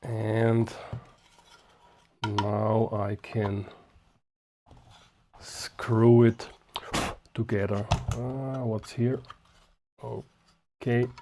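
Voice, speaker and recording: A man giving an unscripted hands-on demonstration as a hobbyist, talking in short, halting phrases as he works, partly muttering to himself. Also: fairly low pitch, little pitch movement, background noise. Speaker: hobbyist host of radio repair videos.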